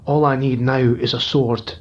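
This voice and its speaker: A man's voice: medium pitch, flat delivery, moderate pace, voice pushed down, natural voice. A man doing a grim young warrior. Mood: resolute. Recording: dull lo-fi recording.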